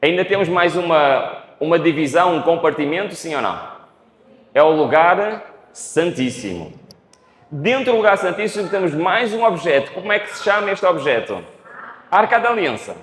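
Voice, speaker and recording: A man's voice: fairly high pitch, big pitch swings, fairly bright tone. A man from Portugal with a European Portuguese accent, preaching a Bible study and asking the audience questions. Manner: unhurried, asks the audience questions. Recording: echoey hall.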